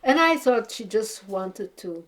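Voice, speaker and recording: A woman's voice: medium pitch, measured pace. A woman in her eighties from Belgium, telling rambling, chatty stories as an interviewee about her painting. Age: 80s. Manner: rambling, chatty, animated storyteller. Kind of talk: casual storytelling interview.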